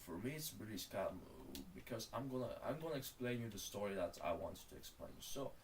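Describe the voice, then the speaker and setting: Bulgarian guy streaming, lowish voice, rambles and swears a lot, bit far from the mic, faint hiss. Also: expressive, a little fast. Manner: rambling, profane, calls the listener bro. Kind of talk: casual rant while gaming.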